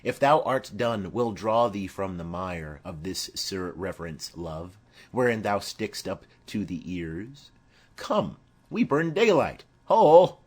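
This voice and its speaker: A man voicing a boisterous, witty young gentleman in a theatrical Shakespeare audiobook reading. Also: deep voice, lively intonation, takes it slowly, slightly rough, exaggerated voice. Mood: urging, exuberant. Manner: theatrical, declamatory.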